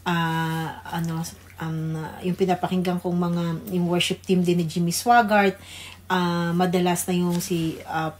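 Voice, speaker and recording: A woman with a Filipino accent sharing in an unscripted devotional talk, halting as she thinks aloud. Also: lowish voice, little pitch movement, slight background noise.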